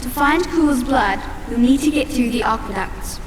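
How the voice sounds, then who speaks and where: An actress playing a brisk, secretive sorceress in a video game, speaking with urgency. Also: female voice, sits high, in a large hall, crowd noise, natural voice. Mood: urgent.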